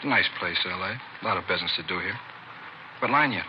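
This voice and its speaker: Noisy, dull vintage radio recording of a big, suspicious drug pusher. His voice sits fairly low and comes out fast, with surly, curt street slang. He is wary and challenging, a classic crime drama tough guy. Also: expressive. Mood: wary, probing.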